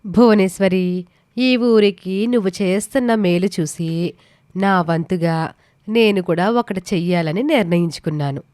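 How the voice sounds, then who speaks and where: A woman's voice: on the low side, moderate pace, a little mellow, no room sound, studio quality, lightly acted. A woman playing a scheming, sweetly persuasive middle-aged village mother in a moral story. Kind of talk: dramatised Telugu moral story.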